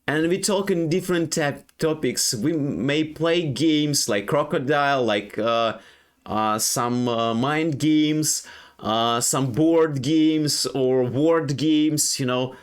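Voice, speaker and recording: A young Ukrainian man with a Ukrainian accent, speaking English as a second language in a halting personal monologue full of fillers and restarts. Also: on the high side. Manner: halting, many fillers and restarts, non-native grammar. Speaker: streamer.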